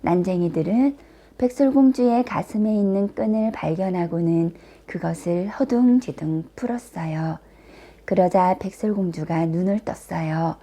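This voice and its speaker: A woman reading a children's fairy tale aloud as a teacher-storyteller, with careful, expressive scripted narration. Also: mid-range voice, measured pace.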